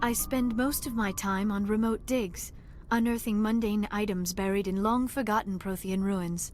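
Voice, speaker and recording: A shy, bookish young alien archaeologist, voiced by a woman speaks in careful, formal sentences at a middle pitch. She is earnest and awkward around people. Game score plays clearly beneath her. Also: fairly even intonation, moderate pace, a little mellow, close to an everyday voice. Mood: reflective. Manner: earnest, formal, flustered when embarrassed. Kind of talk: video game dialogue. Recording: game audio with music behind.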